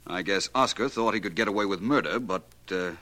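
An actor playing a suave, self-assured gentleman detective with wry, measured delivery in a 1940s radio drama. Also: on the low side. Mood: calm, dry. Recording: vintage 1940s radio recording.

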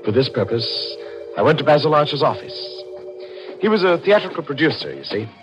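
A man's voice: a little high, very animated, brisk, poor audio. An actor playing a cool, calculating murderer who narrates his scheme in an old radio mystery, controlled and confiding. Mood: cool, scheming. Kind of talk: 1940s radio mystery acting, first-person narration. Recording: old radio drama with music behind.

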